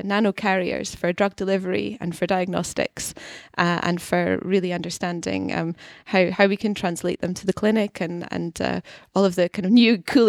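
Podcast guest: Scottish woman, thirties, gravelly, relaxed filler-heavy chat. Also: on the low side, dry recording, studio quality. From Scotland. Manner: chatty, many ums and uhs, laughs easily. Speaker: guest scientist. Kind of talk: podcast interview. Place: studio.

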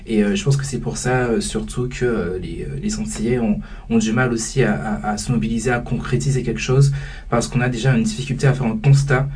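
A man's voice: mid-range voice, fairly even intonation, a little fast, a little mellow, far from the mic, slight background noise. A young man who heads a student association, speaking hesitantly in a panel discussion, with many fillers and restarts. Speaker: student association president, guest.

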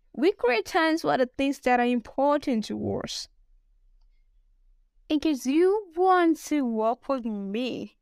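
A woman's voice: fairly high pitch, clear, resonant tone, no echo, studio quality. A woman from Nigeria with a Nigerian English accent, giving an emphatic podcast lesson as a business English coach who speaks straight to the listener. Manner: emphatic, addresses the listener directly.

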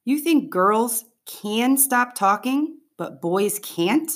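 A woman's voice: a little high, expressive, slow pace, voice pushed up. A woman reading the part of a bossy, eager fifth-grade boy rallying his friends in an audiobook. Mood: eager, insistent.

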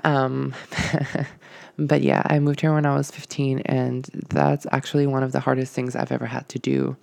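Young man with a gravelly voice. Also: medium pitch, flat delivery, normal speed, darkish tone, no room sound. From Puerto Rico. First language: Spanish. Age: young adult. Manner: rambling, hesitant, many ums and likes. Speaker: podcast host. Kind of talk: casual solo podcast monologue.

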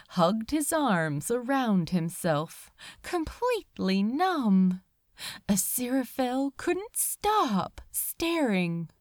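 Slow, expressive woman narrating a story. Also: on the high side, dry recording, studio quality. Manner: measured, expressive storytelling with dramatic pauses. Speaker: amateur audiobook reader. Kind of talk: dramatic scripted narration of fan fiction.

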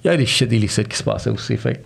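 Gravelly Quebec French man telling stories. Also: on the low side, racing. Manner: mixes English slang into French, animated storytelling. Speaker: podcast guest, entrepreneur. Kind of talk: casual storytelling interview.

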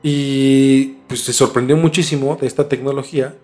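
A man with a Mexican accent in a casual, colloquial podcast conversation, explaining a story in a halting, conversational way. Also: medium pitch, little pitch movement, a little mellow. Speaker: podcast host telling a case. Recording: music under the voice. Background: background music.

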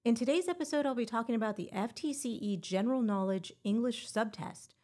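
A woman's voice: on the high side, a little fast, mellow. A woman hosting a teacher-exam prep podcast, talking in a playful, encouraging way with little puns. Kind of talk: scripted educational podcast.

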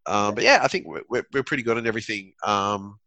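A man's voice: fairly low pitch, expressive, fast talker, vocal fry, bright, forceful tone, no echo. A man co-hosting a podcast, chatting casually with a rambling, laid-back way of talking. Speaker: podcast co-host. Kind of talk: casual chat.

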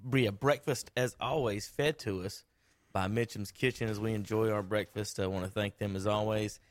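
Man, sports radio host, lowish voice, close dry studio mic, loose rambling banter. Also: fairly even intonation, moderate pace, no room sound. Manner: loose, rambling, with lots of fillers and teasing. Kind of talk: casual studio banter and sponsor reads. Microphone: studio microphone with headphones.

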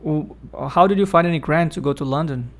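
A man's voice: a little high, brisk. A man interviewing a guest, asking questions and giving brief encouraging responses.

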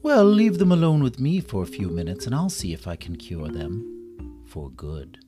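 A performer playing a gloating, scheming male villain doctor, theatrical and drawn-out in manner. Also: low voice, sing-song, over music. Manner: theatrical, drawn-out, falsely sweet.

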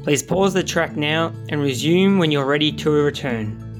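A man gives calm, instructive announcements in a somewhat higher voice. He sounds set back from the mic, and clear plucked guitar plays behind him. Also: moderate pace. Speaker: presenter of a guided reflection. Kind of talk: scripted announcement. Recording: distant voice over guitar music. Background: plucked guitar music.

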